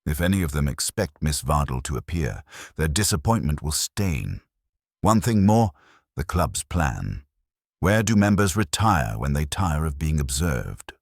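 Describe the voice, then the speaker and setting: A man voicing a heavy older detective who is imperious and deliberate, speaking in formal, terse commands in an audiobook mystery. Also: extremely low pitch, big pitch swings, gravelly, dry recording, clean studio sound, full character voice. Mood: dry, commanding.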